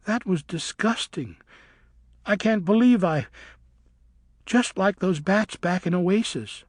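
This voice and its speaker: A man voicing a young female bat who is angry and grieving, shouting and wailing in a high voice. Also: slow pace, dry recording, studio quality. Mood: horrified.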